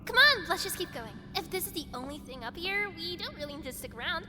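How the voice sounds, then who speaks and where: A woman's voice: extremely high pitch, very animated, quick pace. A woman playing a bubbly, upbeat young woman who talks in a chirpy, eager way. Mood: cheerful.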